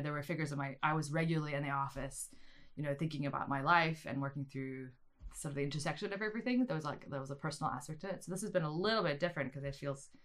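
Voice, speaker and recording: Very low-voiced woman guest, rambling. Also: creaky. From South Africa. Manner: rambling, many restarts and ums. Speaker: guest, media studies teacher. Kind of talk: conversational interview answers.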